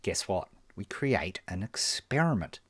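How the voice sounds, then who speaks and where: Lively man coaching on a live stream. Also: low-pitched, unhurried, slightly rough, dry recording. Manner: animated, emphatic, talks with frequent restarts. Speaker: online marketing coach answering viewer questions. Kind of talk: live-stream Q&A, casual explaining.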